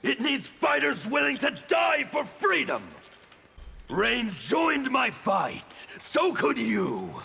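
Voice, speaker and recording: A man voicing a fanatical anarchist warrior in a fighting game, with a harsh gravelly rasp and a theatrical, taunting, defiant delivery. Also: very high-pitched, sing-song, takes it slowly, pushed and bright, soft background music, full character voice. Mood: fervent. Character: fanatical male anarchist warrior preaching chaos and freedom. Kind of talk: fighting game character acting. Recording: reverberant hall, background noise.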